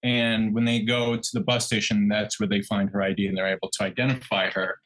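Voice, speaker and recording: A man co-hosting a podcast, adding true crime details in a conversational, halting way. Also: on the low side, flat delivery, a bit bright, rough recording. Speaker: podcast co-host.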